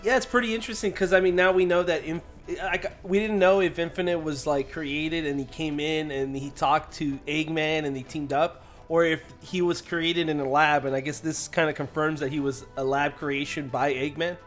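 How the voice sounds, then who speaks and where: A man's voice: on the high side, fairly even intonation, normal speed, hardly any pauses, fairly bright tone. A man co-hosting a gaming news show, chatting casually and giving his take on what is shown. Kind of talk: casual chat commentary. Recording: music behind the voice. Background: background music.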